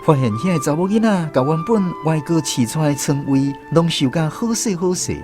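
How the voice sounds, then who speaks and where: A man's voice: fairly high pitch, lively intonation, brisk, over music. A man with a Taiwanese accent reading scripted story narration in a radio drama.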